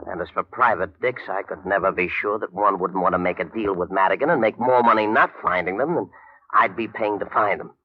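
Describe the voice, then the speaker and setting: Nervous shady bondsman, raspy, slangy and pleading, muffled 1950s radio crime show sound. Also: sits low, fairly quick. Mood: anxious, insistent. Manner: pleading, hesitant, slangy talk. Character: anxious, shifty New York bail bondsman. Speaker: supporting character in detective radio drama. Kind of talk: old-time radio crime drama acting. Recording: muffled vintage 1950 radio recording.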